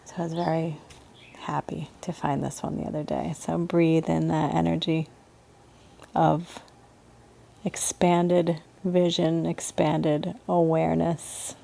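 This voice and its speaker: A woman leading a guided meditation as a yoga teacher, speaking in a soothing, gentle manner with unhurried pauses. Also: sits low, little pitch movement, gravelly.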